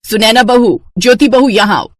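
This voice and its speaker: A woman voicing a stern, bossy middle-aged mother-in-law with a husky voice in a cartoon family story. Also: a little high, moderate pace, slight background noise, lightly acted. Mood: scolding. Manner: bossy, scolding.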